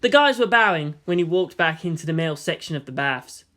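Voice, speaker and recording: Very animated fan fiction narrator. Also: medium pitch, brisk. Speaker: audiobook narrator. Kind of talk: scripted dramatic narration of anime fan fiction.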